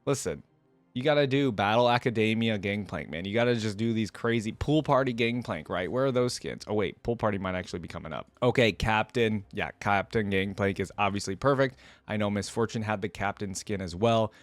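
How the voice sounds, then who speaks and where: A man's voice: on the low side, fairly quick, no room sound. A man hosting a reaction video, giving casual off-the-cuff commentary in colloquial language as he thinks aloud.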